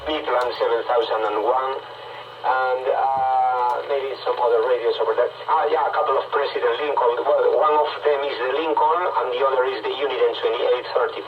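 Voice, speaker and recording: A man's voice: medium pitch, steady tone, fairly quick. Noisy radio voice, man with Spanish background. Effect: heard over shortwave radio. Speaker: radio operator and collector. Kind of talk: casual ham radio chat. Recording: noisy, dull, distant radio reception. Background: loud radio static.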